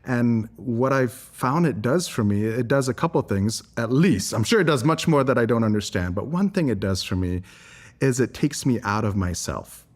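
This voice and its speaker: A man's voice: medium pitch, expressive, normal speed. A man from Singapore giving earnest, articulate, reflective answers as an interview guest. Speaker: interview guest, environmental advocate.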